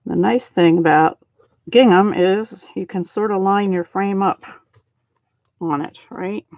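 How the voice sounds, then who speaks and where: A middle-aged woman hosting a live card-making stream, talking softly and thinking aloud as she works and chats with viewers. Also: medium pitch, a little slow, dry recording. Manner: soft-spoken, thinks aloud while working. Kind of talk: casual live craft demo, chatting with viewers. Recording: dry, slightly dull home stream audio.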